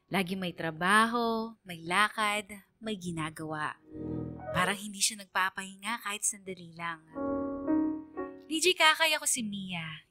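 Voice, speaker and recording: A woman's voice: mid-range voice, lightly acted. A woman playing a lonely, wistful female student, narrating a radio drama letter in a plaintive, confiding way.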